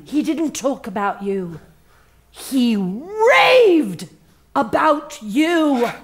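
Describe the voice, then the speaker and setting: High-voiced cajoling female HR exec. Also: big pitch swings, drawn-out words, noisy background, exaggerated voice. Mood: persuasive. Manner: corporate, cajoling, emphatic. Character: smooth, manipulative female HR executive with relentless positivity. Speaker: actor. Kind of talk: satirical audio drama acting.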